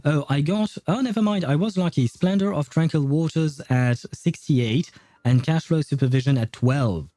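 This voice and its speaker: A man's voice: on the high side, moderate pace, mellow, no echo. A man livestreaming a video game, chatting to viewers in an informal, wry way. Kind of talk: casual live gaming commentary, chatting with viewers.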